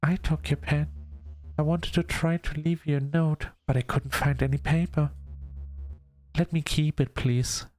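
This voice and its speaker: A man's voice: fairly high pitch, steady tone, a little slow, a little mellow, soft background music, pitched above the performer's own voice, close to an everyday voice. A man voicing a shy, lonely sleep paralysis demon in a visual novel, speaking tentatively and pleadingly. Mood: tentative, gentle.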